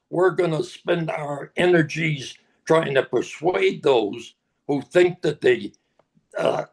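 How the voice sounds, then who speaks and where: An older man answering an audience question at a conference. He speaks slowly and haltingly, with plenty of fillers. His voice is gravelly and sits a little high. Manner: slow, halting, with many fillers.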